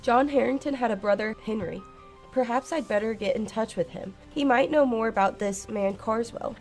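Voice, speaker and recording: A woman plays a practical, solicitous colleague in a radio mystery. Her voice is on the high side and earnest, with faint music behind it. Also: normal speed, lightly acted. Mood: concerned. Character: concerned, practical female colleague of a professor. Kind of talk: radio drama acting. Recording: music under the voice.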